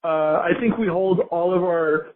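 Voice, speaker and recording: A man's voice: high voice, unhurried. A man calling in to a sports show by voicemail, ranting his opinions in a blunt, profane way. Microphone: phone. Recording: noisy phone voicemail, tinny with clicks.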